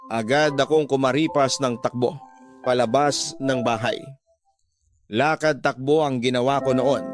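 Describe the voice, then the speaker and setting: Male drama narrator over music. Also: medium pitch. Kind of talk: scripted first-person emotional story narration. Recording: music bed behind the voice.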